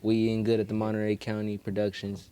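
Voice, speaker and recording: A man's voice: on the low side, completely flat delivery, fast, mellow, a little hiss or hum. A man chatting casually as a podcast guest, with slangy, streetwise, swear-heavy phrasing. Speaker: guest rapper and promoter.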